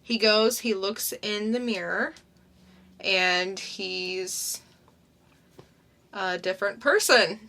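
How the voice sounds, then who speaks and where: A young woman co-hosting a casual comic book podcast, summing up a story in a chatty, unhurried way. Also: medium pitch, bright, forceful tone. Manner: unhurried, chatty, summarizing plots. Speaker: podcast co-host. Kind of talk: casual comic review chat.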